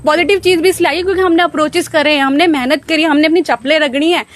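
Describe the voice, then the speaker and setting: A woman's voice: high-pitched, fairly even intonation, no echo. A woman in her twenties from Uttarakhand, a protesting trainee teacher, argues her case with indignation and urgency at an outdoor protest site. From Uttarakhand, India. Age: 20s. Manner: indignant, pleading, rapid argument. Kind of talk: impassioned street interview.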